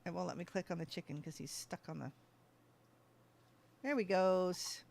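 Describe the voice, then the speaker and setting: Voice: medium pitch, normal speed, gravelly, a little mellow. A gaming streamer giving casual gameplay commentary, often talking to the game's animals and to themselves. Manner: talks to game animals and self.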